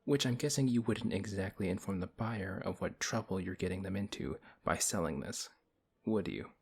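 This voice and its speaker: A man playing a big, principled paladin in a fantasy audio roleplay, speaking in a stern, measured and dryly skeptical way. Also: low voice, a little hiss or hum. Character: big strong male paladin, principled, wary, reluctantly helpful. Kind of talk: ASMR audio roleplay acting.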